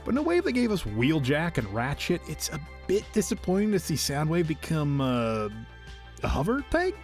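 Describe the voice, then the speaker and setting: A man narrating an enthusiastic scripted review as a video host, with a playful, whimsical and expressive delivery. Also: on the high side, moderate pace. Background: background music.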